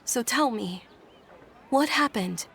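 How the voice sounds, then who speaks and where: A gentle, composed young noble lady. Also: a little high, expressive, gravelly, slight background noise, exaggerated voice. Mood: concerned. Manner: polite, measured, articulate. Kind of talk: video game voice acting.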